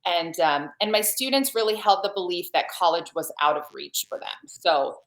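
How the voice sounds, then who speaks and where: A woman in her forties, originally from Serbia, tells her story as a podcast guest. Her voice sits fairly low, and she speaks in an earnest, lively way, often circling back to restart a phrase. She is heard through a video call that sounds roomy and echoey. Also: normal speed. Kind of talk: personal storytelling in a podcast interview.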